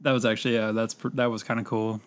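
A man's voice: on the low side, level tone, fast. A man chatting casually as a co-host on a film review podcast. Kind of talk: casual chat.

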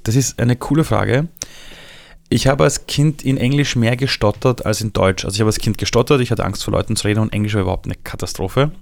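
A man from Iran with a Viennese accent, telling his story in a relaxed interview with lively, animated delivery. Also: lowish voice, fairly quick, vocal fry, dry recording. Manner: lively storytelling, shifts voice for quotes.

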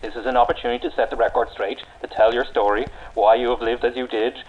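A man voicing an earnest English historian who speaks formally and hesitantly while trying to placate. He talks quickly in an English accent. The sound is dull and lo-fi. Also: mid-range voice, little pitch movement, lightly acted. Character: polite, nervous English social historian. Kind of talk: radio drama acting.

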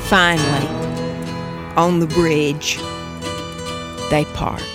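A narrator reading a scripted spoken-word story in terse, measured phrases. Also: mid-range voice, level tone. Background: music under the voice.

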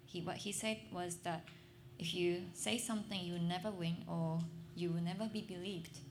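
A young adult Japanese woman giving a personal testimony in English on a festival stage, halting and searching for words, soft and earnest. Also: lowish voice, fairly even intonation, background noise. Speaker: speaker at a literary festival.

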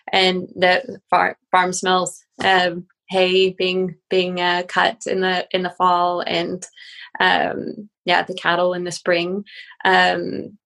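A middle-aged American woman reflects casually, pausing to find her words. Her voice is on the lower side for a woman, with plenty of vocal fry. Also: fairly bright tone. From United States. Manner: hesitant, searching for words. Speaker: podcast guest. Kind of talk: casual personal recollection.

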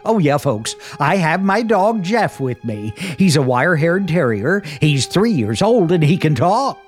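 An actor playing a brash, joking skeptic of a disc jockey in an old radio drama. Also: male voice, fairly high pitch, big pitch swings, unhurried. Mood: flippant. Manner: flippant, joking, chatty. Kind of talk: 1940s radio acting, live broadcast patter. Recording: 1940s radio drama recording with music.

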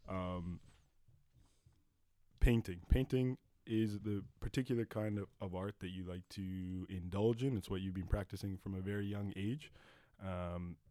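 A young man hosts a relaxed podcast chat, laughing easily and tossing in a friendly "eh". His voice sits low and the sound is dry and close. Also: a little slow, dry recording. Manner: laughs often, loose and joking, says "eh". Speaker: podcast host. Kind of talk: casual interview chat.

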